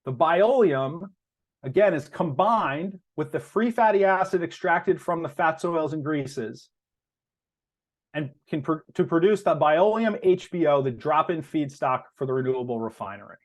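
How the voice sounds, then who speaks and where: A man giving an explanatory business presentation as a company executive, phrasing deliberately and stressing key terms. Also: a little high, animated.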